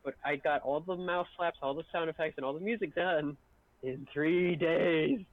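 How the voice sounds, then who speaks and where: A man's voice: fairly high pitch, normal speed, dry recording, narrow, tinny audio. A man rambling casually and self-deprecatingly about his work on a group livestream. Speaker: video editor and voice actor.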